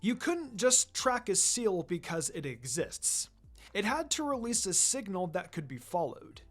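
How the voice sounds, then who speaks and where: Man, somewhat high pitch, scripted story narration, music in the background. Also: big pitch swings, unhurried, fairly bright tone. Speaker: fan fiction narrator. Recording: music behind the voice.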